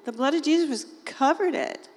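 Raspy woman preacher, folksy and chatty, talking to a small group in a hall with a long echo. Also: high-pitched, a little fast, a little mellow. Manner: chatty, folksy, often laughs and acts out quoted voices. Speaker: lay preacher. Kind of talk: informal sermon to a small group.

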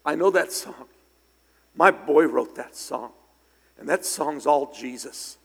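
Middle-aged male preacher, hoarse and gravelly, a touch high-pitched, anecdotal delivery with sudden loud peaks. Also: slow pace. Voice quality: raspy. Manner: conversational, anecdotal, bursts of loud emphasis. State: slightly hoarse from singing. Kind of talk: sermon. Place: church sanctuary. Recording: long hall reverb in church.

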